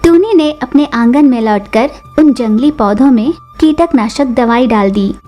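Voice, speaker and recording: High-pitched woman storyteller over music. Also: mellow. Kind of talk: scripted children's story narration.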